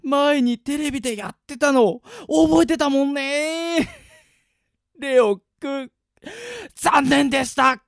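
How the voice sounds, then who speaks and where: A woman voicing a bratty, excitable young boy in a Japanese radio drama, with childish but rough boyish speech. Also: sits high, slow pace, no room sound, studio quality. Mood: smug teasing. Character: bratty, smug young boy player, easily panicked.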